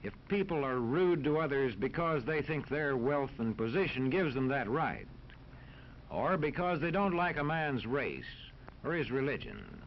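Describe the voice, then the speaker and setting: An American man narrating a scripted 1940s educational film in a formal, authoritative, measured manner. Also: medium pitch, animated. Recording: dull 1940s film soundtrack, faint noise.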